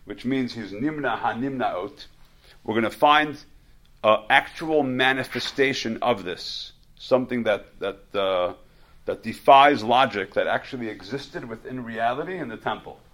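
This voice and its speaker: A man's voice: medium pitch, fairly bright tone. A man teaching a religious study class in an informal way, often repeating words and restarting his sentences as he explains. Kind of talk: informal religious lecture with questions.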